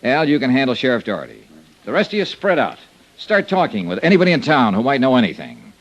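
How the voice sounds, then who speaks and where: A man's voice: mid-range voice, wildly swooping pitch, fast, dry recording, low-quality recording. An American man playing a tough, commanding investigator in an old-time radio drama, with clipped, hard-boiled delivery. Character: tough, commanding insurance investigator, brisk and determined. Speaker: lead actor and narrator of a detective radio serial.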